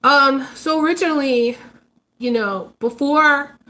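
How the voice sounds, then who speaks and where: Congested woman, halting live-stream chat. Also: high voice, sharp tone, low-quality recording. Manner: hesitant, many ums and restarts. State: congested, recovering from illness. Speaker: psychic reader, channel host. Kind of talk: casual live-stream monologue.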